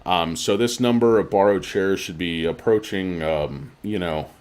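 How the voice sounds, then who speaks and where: A man hosting a stock livestream, ranting in a crude, insulting and sarcastic way, and sounding tired. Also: deep voice. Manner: sarcastic and mocking. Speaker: livestream host.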